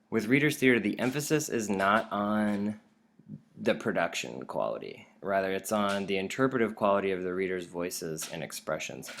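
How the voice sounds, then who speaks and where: A man who is a teacher, giving an informal instructional presentation in a conversational, explanatory way. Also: low-pitched.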